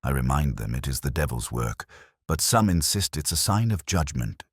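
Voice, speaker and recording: A man's voice: rumbling low, big pitch swings, moderate pace, husky, no room sound, clean studio sound, full character voice. A man playing an indignant, pompous, defiant clergyman in a mystery story. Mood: indignant complaint.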